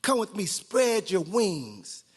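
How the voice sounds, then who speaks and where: A man's voice: high-pitched, big pitch swings, takes it slowly, slightly rough, full character voice. A man voicing a proud, wise eagle leader from a storybook, rallying its kin with bold, preacher-like conviction. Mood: proud, preaching. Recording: echoey hall with long reverb.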